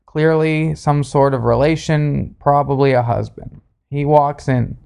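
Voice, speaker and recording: A man telling a story casually as a podcast host, in a halting, sarcastic way, speaking close to a handheld recorder. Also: fairly high pitch, steady tone, creaky, a little mellow, smooth. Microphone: handheld recorder, spoken into closely.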